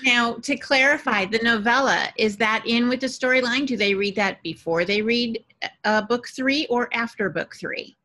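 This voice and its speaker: A woman with a somewhat high, sharp, bright voice moderates a podcast. She delivers intros and reminders, then slips into wry, teasing banter. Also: normal speed.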